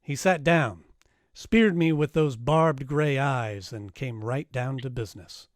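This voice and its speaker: An actor playing a wry, hardboiled private detective in a noir radio drama, casual, clipped and wisecracking. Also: male voice, mid-range voice, slow, no echo, clean studio sound, natural voice. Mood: wry narration.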